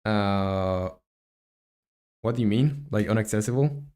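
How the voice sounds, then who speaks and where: A man who speaks Spanish natively, talking casually on a live game development stream, thinking aloud in a halting, hesitant way and reading out chat. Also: lowish voice, a little mellow, clear, resonant tone.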